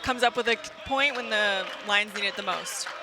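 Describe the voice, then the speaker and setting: A woman's voice: medium pitch, moderate pace. A woman giving conversational color commentary on a volleyball broadcast from an indoor arena. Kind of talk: conversational sports analysis. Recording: loud crowd and arena noise. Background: crowd, bouncing balls, music.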